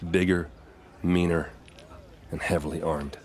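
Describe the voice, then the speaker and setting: Deep-voiced, easygoing young warrior man. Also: little pitch movement, unhurried. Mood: earnest.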